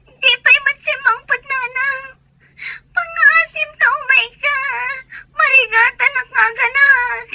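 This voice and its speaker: A woman with an Ilocano accent playing a pregnant daughter in labor, crying and pleading in a drawn-out whine in an audio drama. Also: extremely high pitch, steady tone, noisy background, full character voice. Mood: desperate pleading. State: crying, in pain.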